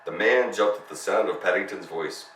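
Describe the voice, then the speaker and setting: A man's voice: low voice, normal speed, a bit bright. A man narrating a children's story as a storyteller, reading expressively. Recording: roomy, distant mic, faint noise.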